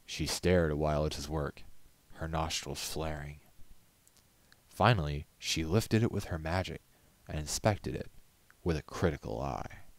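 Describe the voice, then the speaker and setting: A man's voice: rumbling low, measured pace, pauses often, no room sound. A man reading a story aloud as scripted storybook narration. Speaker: amateur story reader.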